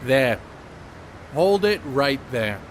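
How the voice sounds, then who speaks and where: A man's voice: mid-range voice, big pitch swings, drawn-out words, slight background noise. A man playing an educated, fussy, secretive ballplayer in a period stage play, precise, reproving and a little hesitant. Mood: guarded.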